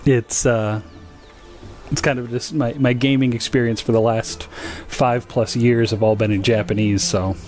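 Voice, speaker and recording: A man talks in a relaxed, conversational way as he streams a game, with music playing behind him. His voice is mid-pitched, and his delivery is halting and informal as he chats with viewers. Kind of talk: casual gaming livestream chat.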